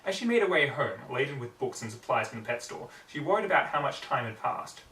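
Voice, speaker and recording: A man reading a story aloud with hammy, expressive narration, recorded in a roomy space away from the microphone. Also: mid-range voice, fast. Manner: hammy, theatrical. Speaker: amateur story reader. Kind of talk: expressive fan fiction narration. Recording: roomy echo, distant microphone.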